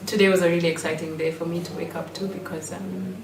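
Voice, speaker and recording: A woman with a Kenyan accent shaped by British schooling reflects in a group, halting as she thinks. Her voice sits on the low side, heard through room echo with a faint layer of noise. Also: level tone. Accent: Kenyan with British-school influence. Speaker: performer. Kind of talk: reflective personal talk in a group. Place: indoor room at an in-person group meeting. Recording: echoey room, distant mic, faint noise.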